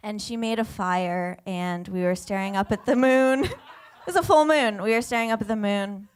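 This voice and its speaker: A woman's voice: mid-range voice, moderate pace, clear, resonant tone. A young woman from Vermont performing a stand-up comedy set on a theater stage, self-mocking and acting out the people she quotes. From Vermont, USA.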